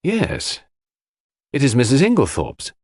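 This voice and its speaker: A man's voice: medium pitch, wildly swooping pitch, takes it slowly, darkish tone, no room sound, studio quality, pitched above the performer's own voice, full character voice. A man voicing an elderly, quaint and self-assured foreign detective, in audiobook style. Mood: cryptic. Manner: theatrical, flippant turning grave. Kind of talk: audiobook character acting.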